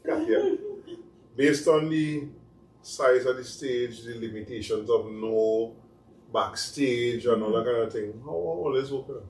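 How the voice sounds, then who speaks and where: A Trinidadian man hosting a talk show, relaxed and conversational. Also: mid-range voice, unhurried. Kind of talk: casual talk show chat. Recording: roomy sound from a distant mic with faint noise.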